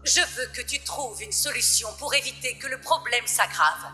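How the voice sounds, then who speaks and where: Séraphine autoritaire au timbre clair. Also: female voice, fairly high pitch, moderate pace, sharp tone. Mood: stern. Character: stern, formal, commanding female seraph leader. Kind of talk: animated series dub acting. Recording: music behind the voice.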